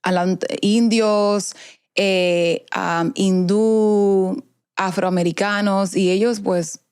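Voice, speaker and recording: A woman's voice: medium pitch, fairly even intonation, extremely slow, darkish tone, smooth. A young woman with a Dominican accent, chatting casually as an interview guest, mixing Spanish and English and laughing often. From Dominican heritage, raised in US Virgin Islands. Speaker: guest, media personality. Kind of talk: casual bilingual interview chat.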